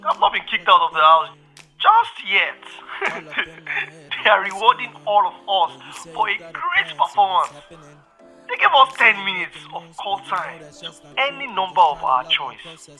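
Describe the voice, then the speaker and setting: Audio drama: easygoing young Nigerian friend, piercingly bright tone, faint music behind. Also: male voice, high voice, very animated, measured pace, full character voice, low-quality recording. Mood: upbeat, relaxed.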